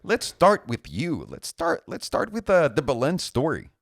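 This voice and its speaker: A man's voice: fairly high pitch, wildly swooping pitch, normal speed, creaky, no echo, clean studio sound. A man, co-hosting a podcast in a chatty, joking way. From Mexico. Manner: joking, talkative, laughs often. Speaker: podcast co-host. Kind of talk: casual chat.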